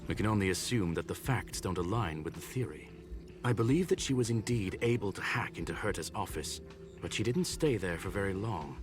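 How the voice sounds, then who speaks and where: A man voicing a learned mechanical gentleman in a video game, formal, calm and eloquent. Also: low-pitched, brisk. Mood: courteous, analytical. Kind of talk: scripted video game dialogue. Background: game music.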